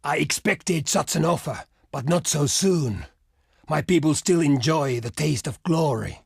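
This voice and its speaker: A proud barbarian chieftain from a strategy video game. His voice sits a little high for a man and is thick with gravel and husk, recorded close and dry. He declaims solemnly and defiantly in old-fashioned phrases. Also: animated, measured pace, darkish tone, no echo, heavily acted. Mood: firm, measured refusal. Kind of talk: dramatic game diplomacy voice acting.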